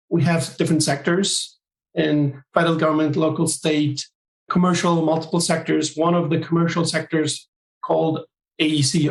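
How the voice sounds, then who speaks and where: A man from Turkey, a guest on an interview podcast, explaining his work in measured, listing phrases. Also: a little high, vocal fry, reverberant, rough recording. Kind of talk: interview answers.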